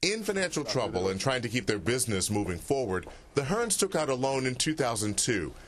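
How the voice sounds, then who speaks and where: A man narrating a TV news report in a steady, measured way. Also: mid-range voice, crowd noise.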